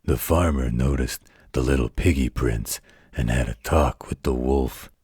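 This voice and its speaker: Gruff male werewolf guardian acted by a man, extremely low and raspy, tender folksy bedtime storyteller for an intimate audio roleplay. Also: no room sound, clean studio sound, full character voice. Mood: folksy storytelling. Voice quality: very deep, gravelly, husky. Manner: folksy, unhurried, gently wry.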